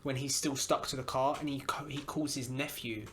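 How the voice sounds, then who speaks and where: A man's voice: medium pitch, steady tone, moderate pace, a bit bright. A young man co-hosting a casual podcast, enthusiastic, repeating his praise and swearing casually. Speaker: podcast co-host. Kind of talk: casual chat.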